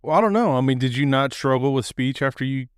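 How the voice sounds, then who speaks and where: A man's voice: mid-range voice, expressive, quick pace, clear, resonant tone, no room sound, studio quality. A man hosting a podcast, asking curious follow-up questions in casual conversation. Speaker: podcast host. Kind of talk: casual interview.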